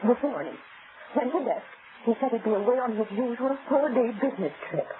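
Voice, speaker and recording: A woman's voice: a little high, expressive, brisk, natural voice. Woman acting an anxious wife, muffled old radio drama. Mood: subdued. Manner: hesitant, plaintive, breaking off and repeating words. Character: anxious, pleading wronged wife, thirty-six. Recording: muffled, noisy vintage radio recording.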